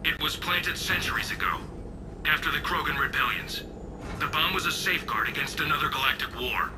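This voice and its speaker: High, urgent young turian officer, alien filter, noisy battlefield behind. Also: male voice, lively intonation, a little fast. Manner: urgent, clipped military. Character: young earnest turian male lieutenant.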